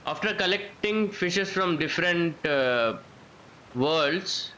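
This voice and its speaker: A man's voice: a little high, expressive, takes it slowly, bright, forceful tone, slight background noise, low-quality recording. A man giving casual live gameplay commentary as a streamer, thinking aloud in a rambling way and repeating phrases. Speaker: game streamer. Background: game music and character voices.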